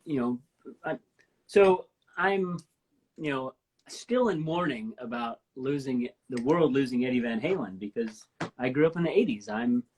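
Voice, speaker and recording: A woman in her fifties with a deep voice, hosting a casual live-stream chat and telling stories with enthusiasm. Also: animated, a little slow, darkish tone, breathy edge, rough recording. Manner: enthusiastic, rambling storyteller. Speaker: host. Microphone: live stream from home.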